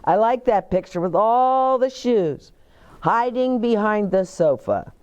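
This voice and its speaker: An older woman reading a picture book aloud and speaking deliberately and simply, as if to small children. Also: medium pitch, lively intonation, a little mellow, lo-fi sound. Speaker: children's storytime reader and host. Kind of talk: expressive picture-book read-aloud with asides to young children. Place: indoor bookshop-like set with shelves, seated in armchair.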